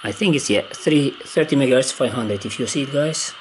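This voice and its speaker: A man who is not a native English speaker gives a casual, unscripted hands-on demonstration, hesitantly thinking aloud in halting phrases. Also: mid-range voice, low-quality recording. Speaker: amateur radio hobbyist demonstrating gear. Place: indoors at a wooden table. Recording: clear background noise on handheld camera audio.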